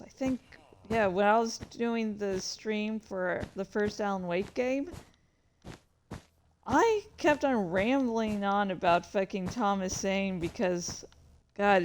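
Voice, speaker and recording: A woman hosting a livestream, chatting casually and rambling to her viewers in a playful, easily sidetracked way. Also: medium pitch. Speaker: livestream host and streamer.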